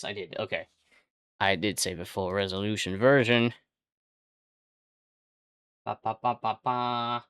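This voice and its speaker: A native French speaker streaming casually, rambling and swearing with jokey outbursts that jump up in pitch. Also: fairly bright tone, smooth, dry recording, studio quality. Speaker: livestreamer. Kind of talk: casual livestream chat.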